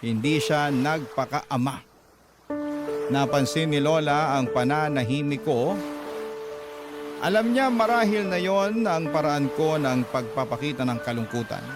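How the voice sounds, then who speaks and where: A man's voice: on the high side, expressive, measured pace. A man with a Filipino accent narrating a dramatic first-person story as a radio-style storyteller. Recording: loud music under the voice.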